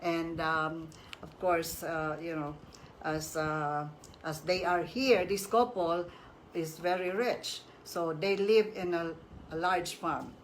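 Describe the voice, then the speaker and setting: Slow-paced woman storyteller from the Philippines. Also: fairly low pitch, a little hiss or hum. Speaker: host reading folktales. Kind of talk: storytelling with casual explanatory asides.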